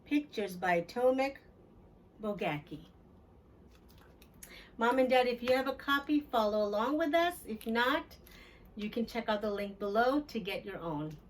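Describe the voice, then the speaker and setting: Woman, children's read-aloud host, higher-pitched voice, very unhurried pace, expressive storybook narration, a bit distant from mic. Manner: expressive, deliberate storytelling to young listeners.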